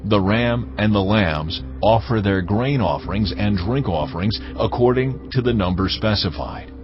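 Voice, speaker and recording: A man performing a solemn lawgiver who dictates sacred ritual commands in measured, formal phrasing for a dramatized audio Bible. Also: on the low side, close to an everyday voice. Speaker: scripture reader. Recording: dull lo-fi audio, prominent music behind. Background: loud background music.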